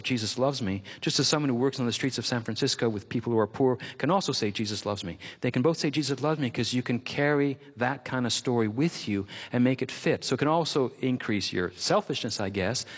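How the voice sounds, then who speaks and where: Reflective man from Quebec, native French, author being interviewed, repeats for emphasis, echoing hall. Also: medium pitch. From Quebec, Canada. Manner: thoughtful, expansive, repeats for emphasis. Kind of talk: interview conversation.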